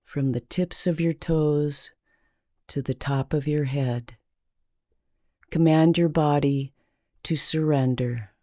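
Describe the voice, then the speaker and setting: A woman leading a guided meditation in a calming, deliberate manner with long pauses between phrases. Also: deep voice, no echo, clean studio sound.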